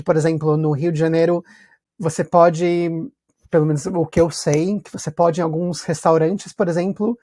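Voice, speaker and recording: A man's voice: on the high side, mellow. A man from São Paulo with a Paulista accent, hosting a podcast and asking curious questions while thinking aloud. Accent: Paulista Brazilian Portuguese. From São Paulo, Brazil. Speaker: podcast host. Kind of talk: casual conversational interview.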